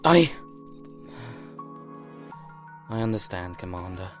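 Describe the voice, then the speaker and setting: An actor playing an earnest, loyal young military subordinate in an audio drama, speaking carefully and hesitantly. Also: male voice, low-pitched, sing-song, a little fast, faint music behind. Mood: earnest, deferential.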